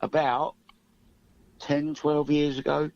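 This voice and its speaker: Gravelly middle-aged man, slightly muffled radio sound. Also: a little high, level tone, slow, darkish tone. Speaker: singer-songwriter guest. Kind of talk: casual interview chat. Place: radio studio.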